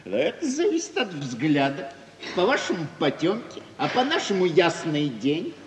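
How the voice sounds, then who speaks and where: Вкрадчивый барин-интриган, живые интонации. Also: male voice, fairly high pitch, huge pitch swings, measured pace. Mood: evasive. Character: Lopachev, idle, scheming gentleman brother, wheedling and cynical. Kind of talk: mid-century Russian radio theatre acting. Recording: distant, noisy old radio play, hall reverb.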